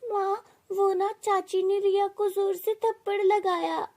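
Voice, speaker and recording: A woman's voice: very high voice, little pitch movement, slow, darkish tone, voice pushed up, heavily acted. A woman voicing a small, innocent, tearful girl in a storybook tale, whimpering and pleading.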